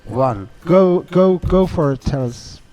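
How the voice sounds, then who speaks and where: A man hosting a casual arts interview in a studio, enthusiastic and halting, often repeating his words. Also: on the high side, expressive, mellow, low-quality recording. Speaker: radio show host. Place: radio studio.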